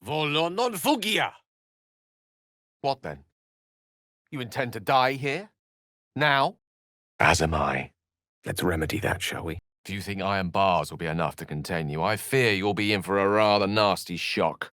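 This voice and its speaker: Eloquent low-voiced wizard, very expressive. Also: male voice, a little slow, pauses often, dry recording, studio quality. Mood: incanting, then firm. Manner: articulate, wordy, theatrical, wry. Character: eloquent, learned adult male human wizard, wry and courteous. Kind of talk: video game character voice lines.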